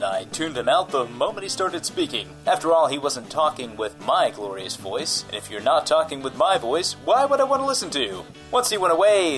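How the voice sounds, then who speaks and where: Pompous, animated undead anchorman played by a man. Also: medium pitch. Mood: haughty, boastful. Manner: pompous, theatrical. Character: vain, gleefully monstrous undead male news anchor. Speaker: radio news anchor giving traffic and weather. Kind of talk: comedic radio-play monologue.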